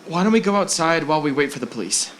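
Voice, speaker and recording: A man's voice: fairly high pitch, expressive, fast talker, fairly bright tone. A man playing a worried but supportive husband in an amateur audio drama, hesitant and reassuring. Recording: clearly noisy amateur recording.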